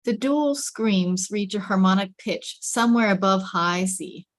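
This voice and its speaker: A woman's voice: mid-range voice, unhurried. A woman narrating the scene and camera directions of a script at a table read. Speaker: stage directions reader.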